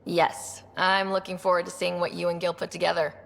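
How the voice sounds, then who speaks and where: A woman's voice: fairly low pitch, little pitch movement, fairly quick, vocal fry, a little hiss or hum. A woman playing a plucky, sardonic young human explorer in a video game, casual, dry and confident in delivery. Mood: earnest. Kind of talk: naturalistic video game acting.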